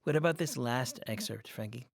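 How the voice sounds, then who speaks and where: A man's voice: mid-range voice, normal speed, gravelly, no echo, studio quality. A man hosting an interview podcast, speaking in an articulate, considered way. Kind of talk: interview hosting with scripted sign-off.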